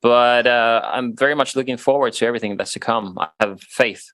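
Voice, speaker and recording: A man in his thirties from Finland, Swedish as his first language, chatting casually as a guest with frequent laughs and halting restarts. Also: medium pitch, a bit bright, dry recording. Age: 30s. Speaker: guest musician. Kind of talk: casual interview chat.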